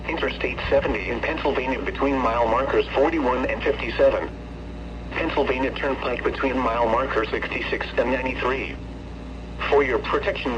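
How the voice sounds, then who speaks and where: A synthetic man's voice reading a scripted weather warning bulletin in a formal, flat, clipped way as a radio announcer. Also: medium pitch. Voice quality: synthetic text-to-speech voice. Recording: muffled, noisy weather radio with hum.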